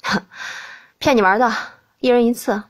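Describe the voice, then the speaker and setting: A man's voice: extremely high pitch, fairly even intonation, moderate pace. A woman playing a teasing, nonchalant young prince in an audiobook. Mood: playful. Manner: nonchalant, slurred when drunk. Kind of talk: audiobook character voice.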